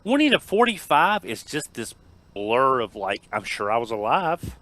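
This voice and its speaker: A man's voice: mid-range voice, big pitch swings, moderate pace, a bit bright, no room sound. A man in his fifties chatting casually on a podcast, joking and repeating words as he gets going. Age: 50s. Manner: jokey, repeats words when starting. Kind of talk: casual chat.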